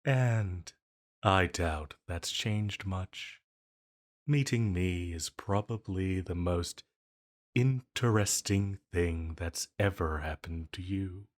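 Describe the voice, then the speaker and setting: An ancient banished villain acted by a man speaks in a very low voice at an unhurried, deliberate pace. He is silky and intimate, flirting with a condescending, dangerous charm, as in an audio drama. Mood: smug, flirty. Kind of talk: roleplay audio drama monologue.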